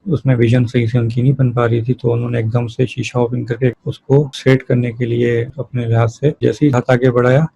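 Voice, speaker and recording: A man's voice: medium pitch, creaky, warm tone, breathy edge, rough recording. A man lecturing in an explanatory way, using everyday examples and answering his own questions as a teacher would. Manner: didactic, asks and answers own questions, switches between Hindi-Urdu and English terms. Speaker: physiotherapy lecturer.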